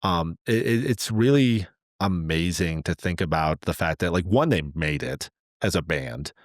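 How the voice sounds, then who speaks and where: A man talking casually as a podcast host, in a halting, earnest, rambling way as he thinks aloud. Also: low-pitched, animated, no echo, studio quality.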